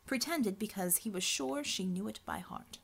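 A woman's voice: medium pitch, expressive, normal speed, creaky, breathy edge. Woman narrating dramatic fiction. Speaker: audiobook narrator.

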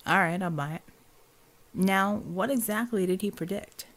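A woman's voice: mid-range voice, moderate pace, fairly bright tone. A woman playing a wry, laid-back American rebel man in an audiobook, with a folksy, casual way of talking.

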